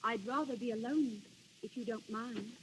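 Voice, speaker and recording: A woman's voice: a little high, moderate pace, a bit bright, slight background noise, natural voice. An actress playing a delicate, dreamy young noblewoman, earnest and theatrical in 1930s radio style. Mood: wistful. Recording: dull 1930s radio recording.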